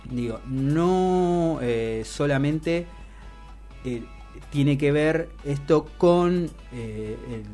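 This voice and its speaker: A man with an Argentine accent co-hosting a radio show, giving news commentary in a halting way, often repeating and rephrasing. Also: a little high, lively intonation, mellow. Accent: Argentine Spanish. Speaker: radio co-host. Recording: music playing behind the voice.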